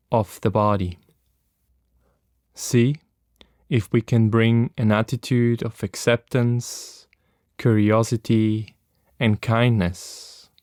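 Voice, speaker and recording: A man leads a guided meditation in a clear, smooth voice recorded very dry and close. His instruction is gentle and very slow, with long pauses between phrases. He sounds like a practiced teacher taking listeners through a body scan. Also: lowish voice, no room sound.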